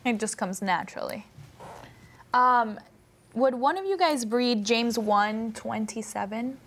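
Higher-voiced woman leading an informal discussion. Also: a little slow, fairly bright tone. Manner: questioning, informal. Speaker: discussion leader. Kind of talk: conversational Bible study discussion.

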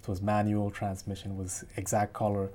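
A young man, a theology student guest, soft-spoken and a little hesitant as he tells his story. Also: fairly low pitch, monotone, moderate pace. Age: young adult.

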